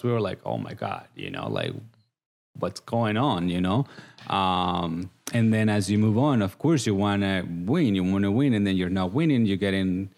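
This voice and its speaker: A man's voice: fairly low pitch, vocal fry, darkish tone. A man from Guatemala with a Guatemalan Spanish accent, a reality show contestant talking earnestly and emotionally in a casual interview, laughing often.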